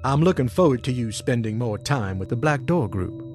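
An actor playing a composed, guarded senior intelligence boss in an audio drama, speaking in a measured, formal, authoritative way. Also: male voice, mid-range voice, lively intonation, over music, natural voice.

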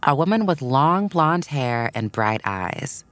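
A narrator reading a scripted children's biography in an expressive, measured storytelling way. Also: medium pitch, no room sound. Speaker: podcast narrator. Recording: soft music bed behind voice. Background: background music.

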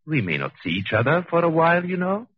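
A suave, murderous husband in a vintage radio thriller. His husky voice moves expressively through mocking, deliberate phrases. The old recording is muffled and close, with faint music. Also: on the high side, sing-song, dry recording. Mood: sardonic, menacing. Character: coldly mocking murderous husband, narrating his own trial. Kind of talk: 1940s radio acting.

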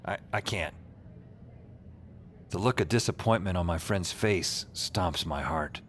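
An actor playing a haunted, wry male narrator in audio fiction, with a deadpan, weary, confessional delivery. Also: sits low, measured pace, a little hiss or hum. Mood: sheepish, guilty. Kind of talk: first-person noir-like audio fiction narration. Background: music under the voice.

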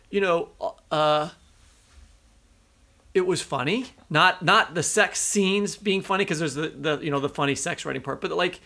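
Bright, gravelly man co-hosting a book podcast. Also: a little high. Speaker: podcast co-host and fiction writer. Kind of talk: casual literary discussion.

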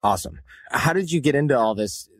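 A man hosting a podcast, reading a brisk, upbeat promo and interviewing a guest. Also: mid-range voice, lively intonation, dry recording, studio quality. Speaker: podcast host. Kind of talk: scripted promo read and interview questions.

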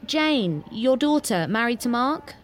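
A woman's voice: a little high, animated, measured pace. An actress playing a meek, eager-to-please young woman in an audio drama, hesitant, polite and self-deprecating. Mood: earnest. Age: young adult.

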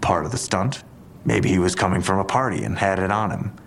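An actor in an audio drama playing a deliberate, analytical male police detective. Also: low-pitched, creaky, a little hiss or hum. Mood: measured, speculative.

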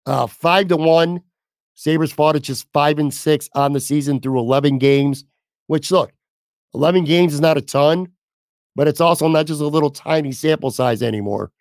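A man hosting a solo sports podcast, hoarse as he loses his voice, blunt and casual with some swearing. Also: fairly high pitch, no room sound, clean studio sound. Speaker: podcast host.

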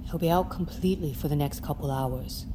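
Actress as a firm, lower-pitched woman doctor. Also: a little fast, natural voice. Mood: grave, resolute. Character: serious, protective female expedition doctor. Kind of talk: audio fiction drama acting. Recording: clear background noise of vehicle ambience.

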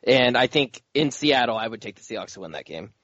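A man on a casual sports podcast giving opinions in a measured, thinking-aloud way. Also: mid-range voice, lively intonation, fairly bright tone, no echo, lo-fi sound. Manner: measured, uses "like" and "look".

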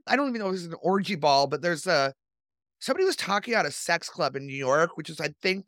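Lively, swooping woman hosting a chat. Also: sits low, dry recording, studio quality. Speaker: podcast host. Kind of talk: casual, digressive history chat.

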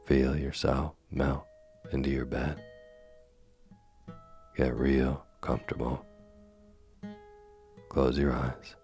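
Very deep, flat, gravelly sleepy-time reader. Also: male voice. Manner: slow, gentle and soothing. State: losing his voice. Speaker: sleep podcast host and reader. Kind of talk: soft bedtime story narration. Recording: faint music behind.

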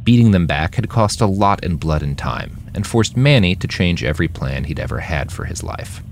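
Deep guy narrating a dystopian audiobook, expressive n dramatic, bit of noise behind him. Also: moderate pace. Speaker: narrator of a fiction podcast. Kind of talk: scripted audiobook-style narration of a dystopian war novel.

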